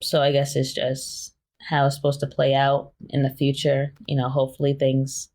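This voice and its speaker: A woman in her twenties, a guest talking candidly about her relationships in a hesitant, soft-spoken way with lots of filler words. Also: a bass voice, completely flat delivery, moderate pace. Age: 20s. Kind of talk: candid personal interview.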